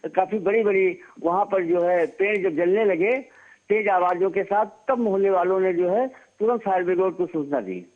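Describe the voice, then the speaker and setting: A man giving an unscripted correspondent's report by phone, in halting, padded phrases. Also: high-pitched. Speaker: news correspondent. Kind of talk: live unscripted field report. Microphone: phone call. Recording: muffled narrowband phone line.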